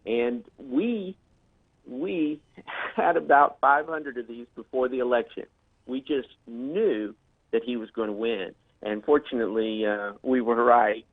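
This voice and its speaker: Guest on a call-in line, stop-start talker full of uhs, tinny dry phone sound. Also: male voice, medium pitch, measured pace, no room sound. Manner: hesitant, many fillers and restarts. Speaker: guest on a political talk show. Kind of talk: casual promotional talk.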